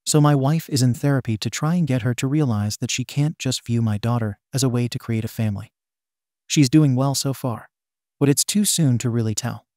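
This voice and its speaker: A man narrating online posts aloud in an even, steady reading voice. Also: mid-range voice, a little fast, no room sound, clean studio sound. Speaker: story narrator.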